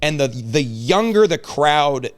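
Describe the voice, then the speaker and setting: Man, talk show host, smooth clear voice, playful banter, casual chat. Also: fairly high pitch, very animated, slow pace.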